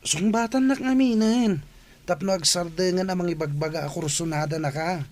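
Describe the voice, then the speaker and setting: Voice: mid-range voice, moderate pace, a little mellow, lightly acted. An actor plays an insistent suitor with an Ilocano Filipino accent. The voice presses for an answer in the style of a radio drama.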